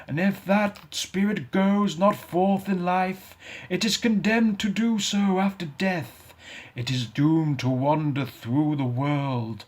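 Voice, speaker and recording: A man voicing the mournful ghost of a dead businessman who drags a chain and laments in a solemn, drawn-out wail. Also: high-pitched, pitched above the performer's own voice. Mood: lamenting.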